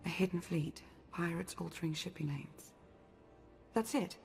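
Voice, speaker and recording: A woman playing a cautious, commanding strategist in a video game, speaking with measured, precise authority. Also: fairly low pitch, gravelly, a little mellow, faint music behind. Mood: focused, brisk. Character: cautious, commanding female strategist, brisk and composed. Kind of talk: video game cutscene acting.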